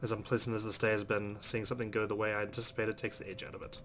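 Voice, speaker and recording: A man's voice: on the low side, level tone, rapid-fire, a bit bright, a little hiss or hum. A man hosting a let's play, expressively reading a visual novel aloud and adding his own asides.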